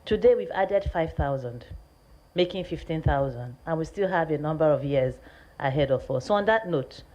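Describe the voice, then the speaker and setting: A woman hosts a formal event in a fairly low voice. She speaks deliberately and with emphasis, as if on stage. The recording is slightly muffled. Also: expressive, a little mellow. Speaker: event host introducing a speaker. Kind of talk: celebratory stage speech.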